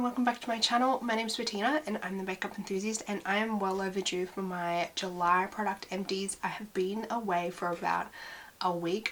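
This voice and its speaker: A young woman reviewing beauty products she used up, talking casually and giving blunt opinions, her voice a little off as she recovers from a cough. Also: medium pitch. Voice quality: voice sounds slightly weird. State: recovering from illness, slight cough. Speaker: beauty vlogger.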